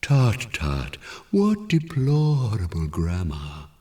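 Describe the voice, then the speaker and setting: A man voicing a haughty, easily offended talking apple tree in a children's story, with grandly drawn-out, correct speech. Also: fairly low pitch, wildly swooping pitch, warm tone, distant mic, voice pushed up, heavily acted.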